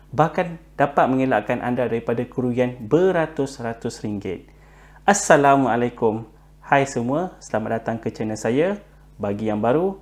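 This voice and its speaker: A man with a Malaysian accent hosts an informal how-to explainer in a mid-pitched voice. He slips English words into his speech. His delivery is unpolished, with frequent hesitant fillers as he works through his points. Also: darkish tone. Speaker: YouTube channel host giving buying tips.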